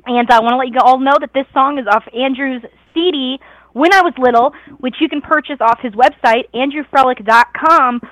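Hoarse, excited woman radio host. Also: high-pitched, brisk, no room sound, low-quality recording. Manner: gushing, excited, chatty. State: hoarse, losing her voice. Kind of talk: excited live radio hosting.

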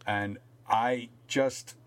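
A man's voice: mid-range voice, steady tone, extremely slow, clear, resonant tone. A middle-aged man co-hosting a podcast, chatting casually and comedically in a wry, mock-earnest way. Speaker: podcast co-host.

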